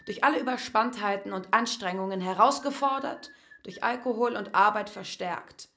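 An actress playing a detached narrator who dissects a breakdown in clinical yet poetic radio play narration. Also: female voice, mid-range voice, normal speed, bright, forceful tone, a little hiss or hum. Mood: ominous, detached. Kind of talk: literary scripted narration.

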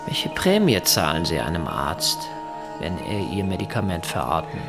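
An actor playing a controlled, probing interrogator in an audio drama, questioning in a measured, insistent way. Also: male voice, sits low, sing-song. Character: controlled, probing male interrogator holding a captive. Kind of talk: scripted audio drama dialogue. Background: music.

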